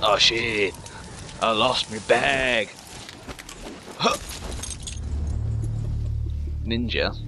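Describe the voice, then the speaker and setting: A man's voice: medium pitch, drawn-out words, vocal fry, long pauses. A man doing casual let's play commentary on a video game, dropping short offhand remarks and interjections. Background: game music.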